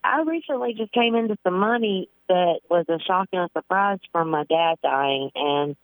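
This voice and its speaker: A young woman calling in to a radio show, speaking in a halting, earnest, hesitant way. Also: fairly low pitch, no echo, poor audio. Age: young adult. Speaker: caller.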